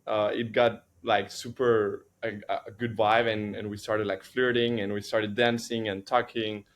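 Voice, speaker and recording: A man from Chile, a native Spanish speaker, telling a story on a podcast in a halting way with many restarts and fillers. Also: on the low side. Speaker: podcast co-host. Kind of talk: casual storytelling.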